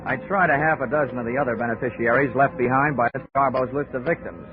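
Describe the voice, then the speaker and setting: An actor with an American accent playing a wry, hard-boiled investigator in a 1940s radio drama, dry and wisecracking. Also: male voice, mid-range voice, fast, natural voice. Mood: dry narration. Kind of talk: 1940s radio acting, noir narration. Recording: tinny 1940s radio recording, music behind.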